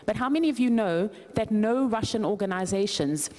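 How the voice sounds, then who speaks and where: A woman's voice: mid-range voice, lively intonation, a little fast, darkish tone. A woman with a South African accent making a firm, assertive statement from the floor at a conference. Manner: firm, assertive, prepared argument. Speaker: conference participant, journalist.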